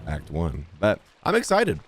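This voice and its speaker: A man's voice: sits low, huge pitch swings, unhurried, soft background music. A man streaming a video game with casual, playful commentary, joking along and slipping into mock voices. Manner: jokey, puts on mocking voices.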